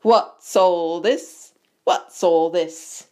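A vain, jovial gentleman as a woman reader plays him, booming and hearty. He talks down to everyone with pompous, patronising good cheer, in storybook style. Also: a little slow, lightly acted. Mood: booming joviality. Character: pompous, jovial grown man, self-loving and patronising.

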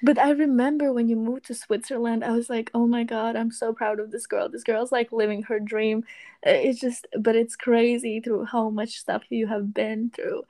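A woman's voice: a little high, flat delivery, normal speed, mellow. A woman hosting a casual chat, encouraging and supportive toward her guest. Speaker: host. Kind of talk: casual conversation.

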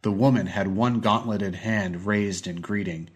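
A man's voice: lowish voice, steady tone, unhurried, breathy edge. A man reading a scripted short story as an audiobook narrator.

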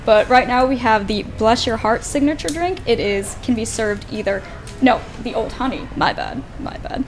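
A woman hosting a casual chat in a café, asking questions and dropping quick asides. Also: fairly high pitch, soft background music. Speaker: host. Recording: noisy café.

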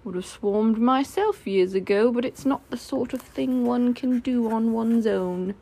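Woman voicing a slow, gloomy storybook donkey. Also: a little high, gravelly, warm tone, poor audio. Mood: gloomy, dry. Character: gloomy, grudging, self-deprecating old donkey, male.

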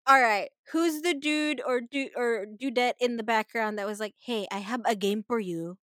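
A woman chats casually about a film with a co-host, animated and quick to laugh, often restarting her sentences. Her voice sits fairly high. The sound is dry, with no room echo. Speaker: podcast co-host. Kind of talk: casual movie review chat.

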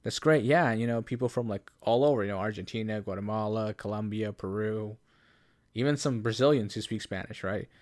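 A man's voice: lowish voice, a little fast. A young Canadian man in casual podcast chat, rambling and laughing as he tells a work story. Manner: rambling, laughs often, restarts stories. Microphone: headphones and studio mic.

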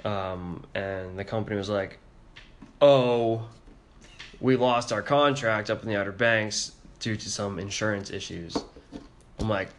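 A soft-spoken, introverted man telling a story in a casual podcast chat, hesitant, with many fillers. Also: lowish voice, measured pace. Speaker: podcast co-host.

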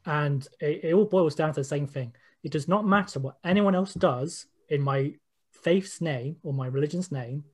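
A man's voice: on the high side, moderate pace, husky. A British man giving personal testimony in an informal discussion, opinionated and repeating phrases for emphasis. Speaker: interviewee, panel participant.

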